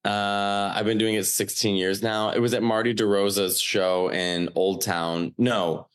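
A man's voice: lowish voice, flat delivery, a little slow, clean tone. A man in his late thirties from Chicago with a Chicago accent, a comedian chatting casually and rambling with lots of fillers. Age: 30s. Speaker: stand-up comedian guest. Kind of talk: casual podcast chat.

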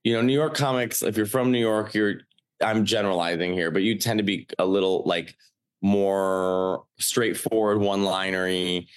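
A man's voice: fairly low pitch, fairly even intonation, normal speed, clear, resonant tone. A man in his late thirties from Chicago with a Chicago accent, a comedian chatting casually and rambling with lots of fillers. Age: 30s. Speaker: stand-up comedian guest. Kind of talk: casual podcast chat.

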